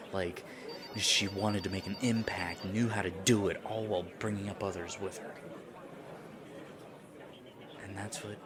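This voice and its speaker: A man performing an audio roleplay monologue, earnest and reflective, sometimes dropping to a hushed whisper. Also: sits low.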